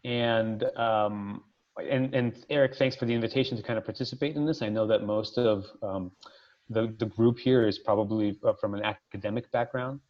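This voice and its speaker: A man's voice: fairly low pitch, steady tone, normal speed. A man from Ukraine who grew up in Colorado, speaking casually and a little hesitantly as a webinar participant. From born in Ukraine, grew up in Colorado from age nine. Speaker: webinar participant, study abroad company owner. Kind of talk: casual introduction in a discussion. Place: remote online call. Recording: dull lo-fi online call audio.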